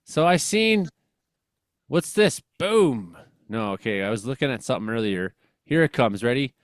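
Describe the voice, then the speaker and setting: A man's voice: mid-range voice, big pitch swings, moderate pace, no echo, studio quality. A man with a Western Canadian accent hosts a comedy weather show in casual, rambling, slangy chat, joking and exclaiming as he comments.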